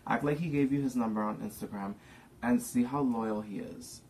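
A young man in his twenties, chatting excitably and dramatically on a home livestream. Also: medium pitch, moderate pace, low-quality recording. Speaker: livestream co-host. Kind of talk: casual livestream chat. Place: living room at home.

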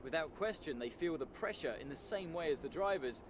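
A man giving measured, earnest scripted commentary about a race team. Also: fairly high pitch, expressive. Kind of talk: scripted broadcast narration. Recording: outdoor track ambience with engine noise.

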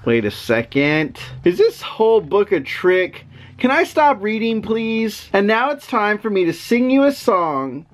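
A man voicing an exasperated, reluctant storybook reader, mock-protesting in a playful, drawn-out way as he is forced to say silly things. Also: high-pitched, sing-song. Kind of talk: animated children's storybook reading.

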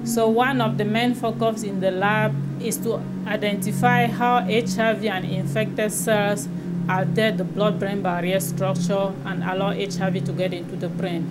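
A middle-aged woman from Cameroon talks through her research in a careful, explanatory way, pausing between ideas. She sits in a lab and is recorded on a lapel mic, with loud music playing under her voice. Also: medium pitch. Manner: measured, explanatory, careful pauses. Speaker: associate professor, researcher. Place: indoor lab with shelves of bottles.

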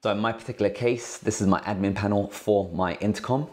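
A man's voice: on the low side, steady tone, brisk. A man hosting a tech tutorial in a casual, informal, slangy way, explaining things step by step. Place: indoors at a cluttered work desk.

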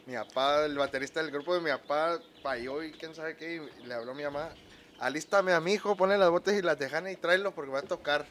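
Drummer guy from texas, bit high voice, animated, faint outdoor noise. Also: fast talker. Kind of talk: casual group interview.

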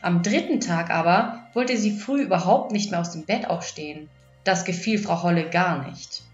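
A woman's voice: on the low side, normal speed. A woman narrating a scripted fairy tale for children as an audiobook storyteller. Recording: echoey, dull lo-fi, music behind. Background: music.

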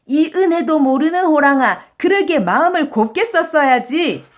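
Woman playing an earnest high-pitched storybook traveler. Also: lively intonation, moderate pace. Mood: scolding. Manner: earnest, exclamatory, old-fashioned phrasing. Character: kindly, indignant male traveler, flustered but earnest. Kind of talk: children's storybook character voice.

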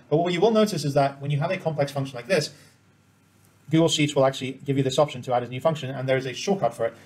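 A man presents an informal software tutorial in a medium-pitched voice. He talks at a brisk clip, walking through each step as he demonstrates it on screen. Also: low-quality recording. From Cambodia. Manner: explains step by step while demonstrating.

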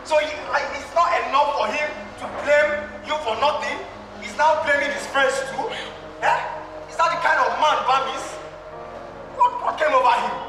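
A man's voice: very high voice, moderate pace. A young man with a Nigerian English accent playing a loyal male friend who consoles and fumes, peppering every line with "eh?" and "ah!". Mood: outraged. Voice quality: full of exclamations like eh and ah. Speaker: stage actor. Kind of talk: live stage drama. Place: theatre stage, large hall. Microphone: far room mic. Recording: echoey hall recorded from far off, audience noise.

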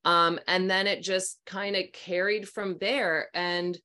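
A woman in her forties with a slightly low voice tells a story in a lively, chatty way over a video call. She speaks from a small room at home into a webcam mic and slips into voices as she retells what people said. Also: slow. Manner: animated, chatty, acts out voices in her stories. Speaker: host, sound healing practitioner.

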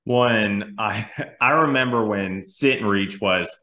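Bright-voiced man on a video call. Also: lowish voice, takes it slowly, echoey room. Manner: thoughtful, many restarts and fillers. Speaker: strength coach, podcast co-host. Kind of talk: casual conversational explanation. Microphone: video call webcam.

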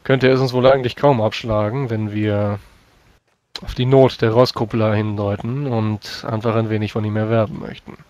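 A man's voice: lowish voice, level tone, moderate pace, darkish tone, muffled recording. A man improvising in-character dialogue as a tabletop player, with formal, old-fashioned phrasing. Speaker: tabletop role-playing player.

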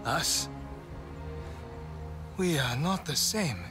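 A man's voice: a little high, animated, over music. A man acting a bitter, wounded young alien survivor in a dramatic video game scene, with an accusing manner. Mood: cold, resentful. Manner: slow, accusing delivery.

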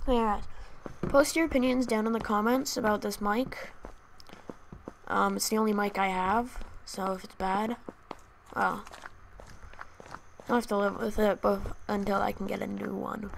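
A woman talks through her game in a relaxed, thinking-aloud way, as if chatting to her viewers. Her voice sits at a middle pitch with a noticeable gravelly fry, and she speaks into a new desktop microphone. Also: unhurried, long pauses, slight background noise. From Canada. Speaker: gaming channel host. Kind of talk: casual gameplay commentary.

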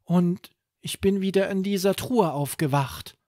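A man's voice: high voice, a little slow, warm tone, dry recording, studio quality, voice pushed up. A man voicing a small, earnest, secretive stuffed toy in a storybook tale. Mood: explaining.

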